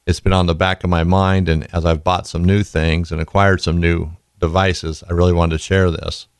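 Raspy low-voiced tired man. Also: little pitch movement, moderate pace, no echo, studio quality. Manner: rambling, folksy, goes off on tangents. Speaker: podcast host. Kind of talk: casual podcast talk.

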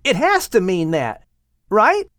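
A man voicing an eager, excitable young son who shouts his news. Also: sits high, takes it slowly, no room sound, clean studio sound, pitched above the performer's own voice, heavily acted. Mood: excited.

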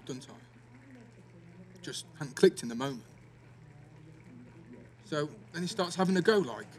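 A man's voice: on the high side, a little hiss or hum, natural voice. A young man playing a defensive, sweary teenage schoolboy in a naturalistic audio drama, with an East Midlands English accent and a blunt, slangy delivery. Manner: blunt, slangy, full of tag questions.

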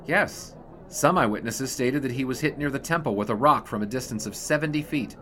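Actor as measured, persistent 1920s newspaper reporter. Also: male voice, mid-range voice, bright, forceful tone, lightly acted. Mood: earnest, pressing. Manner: measured, probing, courteous. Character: polite but persistent young male 1920s sportswriter. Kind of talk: audio drama period dialogue.

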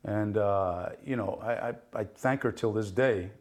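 A man's voice: fairly low pitch, a little slow, darkish tone. Italian-born man chatting loosely. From Italy. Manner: rambling, many fillers, laughs often. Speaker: guest. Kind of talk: casual interview chat.

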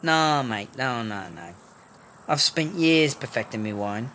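Casting: man as foul-mouthed female British hooch seller for comedy audio drama, unhurried and wheedling, faint background noise. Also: medium pitch, expressive, sharp tone. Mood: indignant, guarded. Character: crafty foul-mouthed female prison wine brewer, chummy and wheedling.

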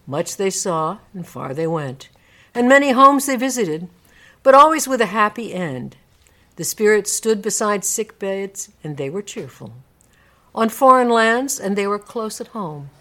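A woman narrating a classic story in a live dramatic reading, with measured, theatrical phrasing. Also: medium pitch, very animated, a bit bright.

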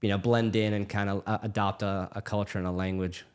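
Middle-aged american guy, doctor on a podcast, talks fast, lowish voice, lots of restarts. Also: clear, resonant tone. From United States. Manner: fast, animated storytelling with many restarts. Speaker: guest physician. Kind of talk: casual conversational interview.

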